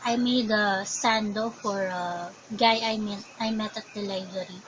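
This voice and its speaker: Filipino woman, crafting vlogger, unhurried and hesitant, dull home recording with steady hiss. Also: mid-range voice. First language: Tagalog. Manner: slow, hesitant, thinking aloud. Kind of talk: casual show-and-tell. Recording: dull lo-fi sound with background noise.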